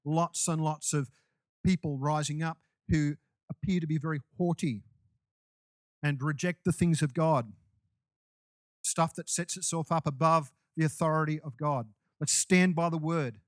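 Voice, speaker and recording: A man's voice: fairly high pitch, steady tone, measured pace, no room sound. A man preaching a sermon to his congregation in a loose, conversational way, with restarts and asides. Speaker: pastor.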